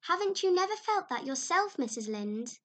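A woman's voice: fairly high pitch, measured pace, a bit bright. A woman reading aloud, voicing an imaginative, dramatic orphan girl who gushes breathlessly. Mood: pleading. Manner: breathless, gushing, heightened.

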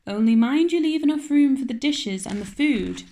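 A woman's voice: high-pitched, animated, normal speed, dark tone, pitched above the performer's own voice. A woman voicing a stern, practical older woman who speaks curtly and scolds sharply. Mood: dry.

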